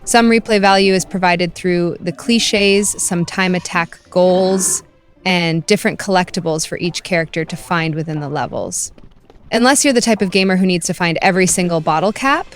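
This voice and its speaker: A woman's voice: lowish voice, normal speed. A woman narrating a scripted video game review as the critic. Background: music.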